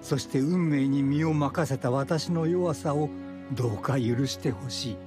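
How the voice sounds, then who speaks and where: A man playing an ailing elderly researcher in anime, reading out his letter to his granddaughter in a solemn, measured, regretful way. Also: medium pitch, music in the background, close to an everyday voice. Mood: regretful, pleading. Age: older. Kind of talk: dramatic letter narration in anime.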